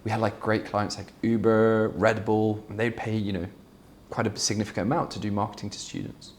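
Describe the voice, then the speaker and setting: Low-voiced founder, candid. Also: male voice, flat delivery, a little fast, slight background noise. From Belgium. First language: Flemish. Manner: candid, fluent, many fillers and restarts. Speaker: startup founder, guest. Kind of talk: conversational interview answers.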